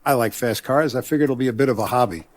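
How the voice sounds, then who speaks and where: A man's voice: medium pitch, lightly acted. Actor as commanding, streetwise mob boss man. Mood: businesslike, confiding. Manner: deliberate, authoritative, streetwise gangster talk. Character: commanding, paternal, proud mob boss, principled yet ruthless. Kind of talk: dramatic video game voice acting.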